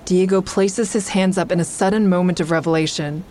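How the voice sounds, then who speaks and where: An actress playing a wary, anxious woman who narrates an audio drama in the first person, measured and confiding. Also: lowish voice, steady tone, a little mellow, a little hiss or hum, lightly acted. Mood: uneasy, confiding.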